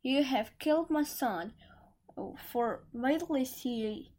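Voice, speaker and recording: A woman voicing an enormous enraged genie in a storybook, merciless and halting in delivery. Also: high voice, darkish tone, voice pushed up, rough recording.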